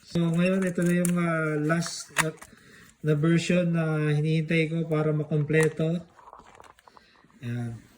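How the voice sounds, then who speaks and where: A Filipino man with a slightly high voice talks at a slow, unhurried pace. He sounds casual and laid-back, like a hobby collector vlogging an unboxing. Faint noise, clicks and crinkling packaging sit behind him. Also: steady tone. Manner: laid-back, mixes Tagalog and English.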